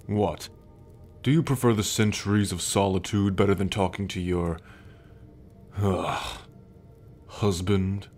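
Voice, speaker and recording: Casting: male actor as imperious sun god, arrogant and brooding, low voice, very unhurried scornful delivery, ASMR roleplay. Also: steady tone, a little mellow. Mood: grudging disdain. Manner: haughty, scornful, formal, sighing. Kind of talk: ASMR roleplay audio drama. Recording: background music under the voice.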